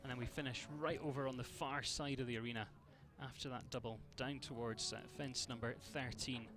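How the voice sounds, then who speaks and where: A man's voice: mid-range voice, fairly even intonation, a little fast, over music. A man from Scotland with a Scottish accent, giving chatty live commentary on a show jumping event and greeting viewers by name.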